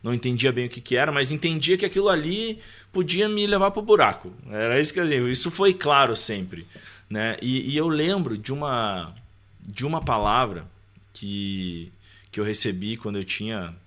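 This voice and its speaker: An adult man with a medium-pitched voice. He talks casually, like a podcast guest recounting his own life. He often acts out bits of remembered dialogue and drops in small tag questions. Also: fairly bright tone. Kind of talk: casual personal storytelling.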